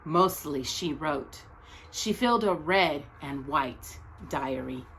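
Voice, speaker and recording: Female storytime reader, slightly low voice, very unhurried and expressive, pausing dramatically between phrases, noisy recording. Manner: deliberate, dramatic pauses between short phrases. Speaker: storyteller reading a picture book aloud. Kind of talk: read-aloud children's storytime, expressive narration.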